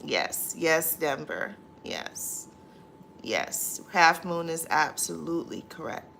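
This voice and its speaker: Lady on a livestream reading comments, low gravelly voice, lots of ums, faint hum behind. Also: lively intonation, takes it slowly, a bit bright. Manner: chatty, many fillers, reads comments aloud and answers them. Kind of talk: casual live chat.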